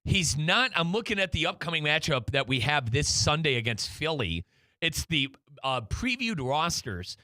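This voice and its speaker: Animated bright-voiced male sports host. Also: fairly high pitch, normal speed, no echo. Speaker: sports talk show host. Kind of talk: lively sports talk.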